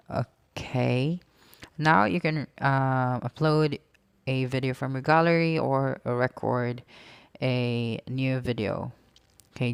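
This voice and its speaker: Tutorial host doing step by step voiceover, smooth clean voice w some vocal fry, mid pitch. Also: dry recording. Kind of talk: step-by-step screen tutorial narration.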